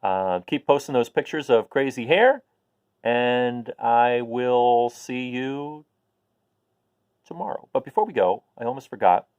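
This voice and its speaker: A man reading a rhyming picture book aloud to young listeners in an expressive way. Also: medium pitch, unhurried, clean tone. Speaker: children's story reader.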